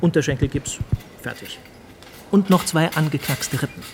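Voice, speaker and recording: A man's voice: a little high, normal speed, background noise, lightly acted. A man playing a touchy, matter-of-fact trauma surgeon in a radio drama, speaking in clipped clinical phrases.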